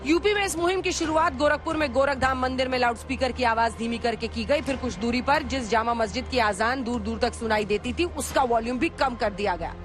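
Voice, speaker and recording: A woman's voice: fairly high pitch, a little fast, hardly any pauses. A woman reading scripted news narration in an emphatic, dramatic way as a reporter. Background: background music.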